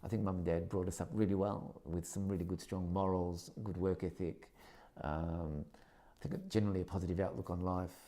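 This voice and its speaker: A deep, mellow-voiced Australian man in his fifties reflects on his life as a teacher. He speaks in a measured, thoughtful way, pausing and restarting phrases as he gathers his ideas. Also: steady tone. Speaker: secondary school teacher. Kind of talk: reflective interview testimony.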